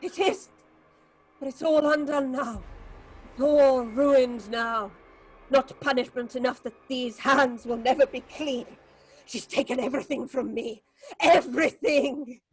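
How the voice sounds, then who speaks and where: A woman's voice: high voice, a little slow, husky, poor audio. A woman playing a guilt-crazed, jealous actress in a theatrical horror drama, ranting melodramatically as she confesses. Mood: bitter, anguished.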